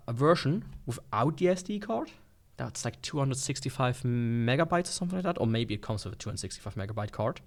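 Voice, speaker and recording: A man giving casual, sarcastic, playfully exaggerated commentary as he unboxes and reviews a gadget. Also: mid-range voice.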